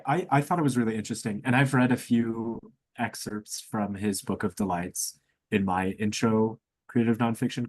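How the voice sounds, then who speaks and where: Low, husky young man in casual discussion. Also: no echo. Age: young adult. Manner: thoughtful, hedging with frequent "like". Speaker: student podcast panelist.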